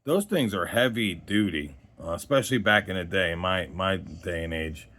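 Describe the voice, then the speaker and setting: Guy in his 40s, kinda low voice, casual rambling review, loses his thread mid sentence. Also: moderate pace, sharp tone. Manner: rambling, conversational, trailing off mid-thought. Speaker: host of a radio gear review channel. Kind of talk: casual unscripted product review chat.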